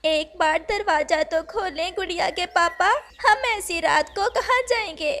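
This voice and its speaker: A woman's voice: very high voice, unhurried, a bit bright, full character voice. A woman voicing a sorrowful mother bird in a cartoon, tearful and pleading. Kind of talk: Hindi cartoon voice acting.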